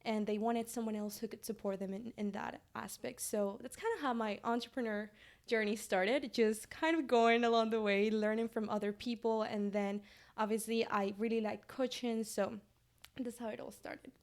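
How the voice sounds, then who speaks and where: A woman's voice: a little high, steady tone, moderate pace. Podcast guest, woman in her 20s, from Venezuela, earnest and chatty. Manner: earnest, speaks with frequent fillers. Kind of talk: casual interview.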